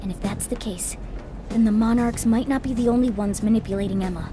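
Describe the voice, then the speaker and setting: A woman's voice: fairly high pitch, steady tone, fast, over music, natural voice. A woman voicing a small, earnest talking cat sidekick in a video game. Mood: earnest, pondering.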